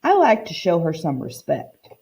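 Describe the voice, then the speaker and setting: Woman reading as a mellow, kindly old woman storyteller. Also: on the low side, huge pitch swings, normal speed, gravelly, exaggerated voice. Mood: warm, proud. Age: older. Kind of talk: children's picture book read-aloud.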